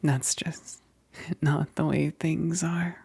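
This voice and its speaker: A woman playing a female vampire best friend, tender yet menacing, in an intimate ASMR roleplay, whispering breathily and haltingly. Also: sits low, fairly even intonation, gravelly, dark tone, husky, dry recording, heavily acted. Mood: wistful, regretful. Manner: slow, halting, intimate, with sighs.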